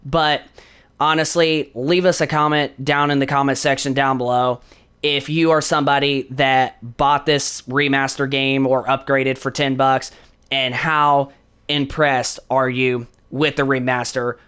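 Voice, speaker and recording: A man with a slightly hoarse voice from a sore throat, hosting his channel with a casual, rambling game review that leans on "honestly" and "definitely". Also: medium pitch, flat delivery, a little slow, fairly bright tone.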